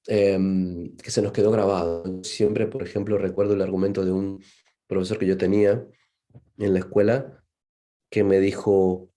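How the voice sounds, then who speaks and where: A man's voice: sits low, flat delivery, normal speed, mellow. A man from Argentina with an Argentine accent, giving a seminar lecture as a psychologist, thoughtful and full of fillers and "¿no?" tags. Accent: Argentine Spanish.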